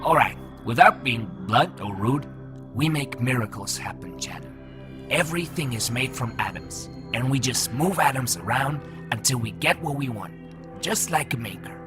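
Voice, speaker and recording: A man's voice: mid-range voice, lively intonation, normal speed, music in the background, close to an everyday voice. An actor playing a proud, teasing man made of nanomachine fog in a voiced comic, chatty and explanatory. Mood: breezy, teasing. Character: breezy, proud male human made of floating nanomachine fog. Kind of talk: voiced comic audio drama.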